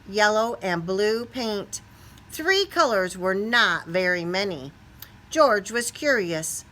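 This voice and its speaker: Woman, expressive picture-book reader for young children. Unhurried, bright delivery that leans on key words. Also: mid-range voice. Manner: expressive, stretches key words, asks listeners questions. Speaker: storybook reader. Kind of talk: animated children's picture book read-aloud.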